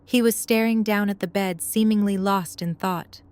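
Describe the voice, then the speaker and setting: A woman narrator with a medium-pitched voice reads scripted prose for an audio drama. She tells the story between the characters' lines. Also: fairly even intonation, moderate pace, a little mellow, clean tone.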